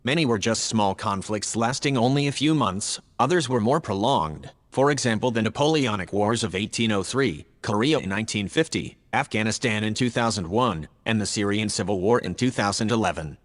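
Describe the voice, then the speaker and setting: A man playing a logical, earnest male artificial intelligence in an audio drama, speaking in a measured, factual, formal way. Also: lowish voice, long reverb tail, lightly acted. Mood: earnest, matter-of-fact. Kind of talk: scripted audio drama dialogue.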